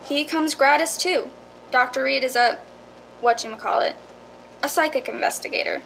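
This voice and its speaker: Radio drama property seller played by a woman: bright, high, chatty, persuasive, folksy. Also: moderate pace, vocal fry, slight background noise, rough recording. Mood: eager, reassuring. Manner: eager, reassuring, folksy salesmanship.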